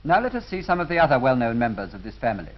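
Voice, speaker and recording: A man's voice: mid-range voice, big pitch swings. Dull old-film British man, formal narrator. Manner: formal, measured delivery. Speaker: documentary narrator. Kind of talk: scripted 1930s film narration. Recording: dull lo-fi 1930s film soundtrack.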